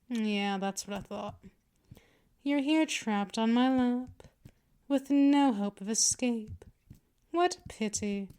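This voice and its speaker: A woman's voice: on the high side, a little mellow. A woman playing a dominant, patient vampire mistress in a scripted ASMR roleplay, speaking in a condescending, teasing purr straight to the listener. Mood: gloating. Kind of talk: scripted ASMR roleplay, intimate second-person address.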